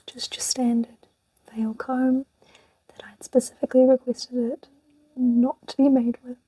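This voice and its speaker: Young woman, soft unvoiced whisper, unhurried, mellow dark tone, close and dry mic. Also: sits high, flat delivery, breathy edge, no room sound, poor audio. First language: English. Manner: rambling and chatty, with little laughs. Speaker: ASMR channel host. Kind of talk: whispered chatty ASMR.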